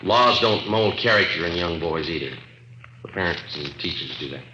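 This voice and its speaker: A man acting a calm, no-nonsense police detective with an American accent, matter-of-fact and deliberate, in 1950s radio drama style. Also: sits low, background noise. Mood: firm, matter-of-fact. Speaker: police sergeant and narrator. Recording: dull old radio recording, roomy, music behind.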